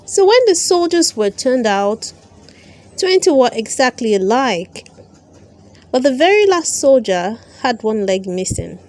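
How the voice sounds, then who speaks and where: A woman narrating a children's storybook aloud, with careful, expressive storytelling. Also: a little high, unhurried. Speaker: storyteller, channel host.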